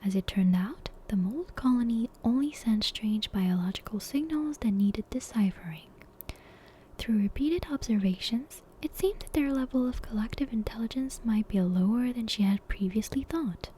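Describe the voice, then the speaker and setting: A woman reading a bedtime story for ASMR in a breathy, unhurried whisper, soft and hushed. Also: fairly high pitch, a little hiss or hum. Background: soft game soundtrack ambience.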